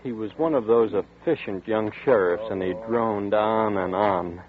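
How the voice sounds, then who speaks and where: A man's voice: fairly low pitch, measured pace, natural voice. An actor in a 1940s radio drama narrating as a nervous, guilt-ridden man, with a tense, brooding delivery. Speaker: narrator and character Vince. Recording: tinny old radio broadcast with music.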